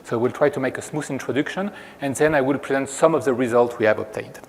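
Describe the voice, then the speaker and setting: A man's voice: mid-range voice, creaky, a little hiss or hum. French-accented man lecturing in a reverberant hall. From France. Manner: measured, explanatory, frequent restarts. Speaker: researcher giving a conference talk. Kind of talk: technical lecture.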